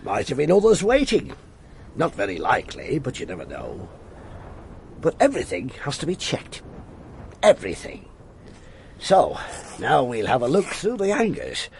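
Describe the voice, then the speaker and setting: Over some background noise, a sardonic detective inspector in radio drama. His voice is gravelly and swings widely in pitch, by turns musing and authoritative. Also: huge pitch swings, moderate pace, long pauses. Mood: brisk, musing. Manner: musing, clipped questions, sardonic. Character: wry, brooding, authoritative male police detective inspector.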